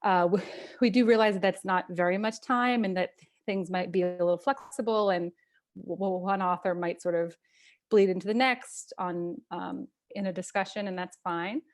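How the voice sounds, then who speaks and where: A woman's voice: lowish voice, fairly even intonation, normal speed. A woman hosting an online event who stutters often on the starts of words as she introduces the first speaker over a video call. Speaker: event host.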